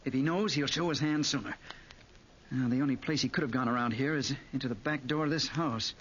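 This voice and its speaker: An actor playing an eager, cocky American who is morbidly fascinated by crime, in 1940s radio acting style. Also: male voice, medium pitch, brisk, a bit bright, lightly acted. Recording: muffled, noisy 1940s radio recording.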